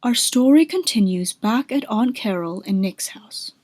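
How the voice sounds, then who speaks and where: A woman's voice: fairly high pitch, animated, unhurried, a little mellow, no echo. Woman narrator, dry close sound. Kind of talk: scripted storytelling narration.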